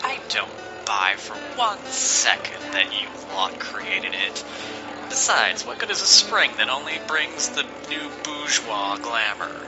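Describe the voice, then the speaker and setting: A man's voice: medium pitch, very animated, slow pace, poor audio. A man playing a skeptical, resentful commoner rebel in a fantasy audio drama, pointed and indignant, over music. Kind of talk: dramatic audio fiction dialogue.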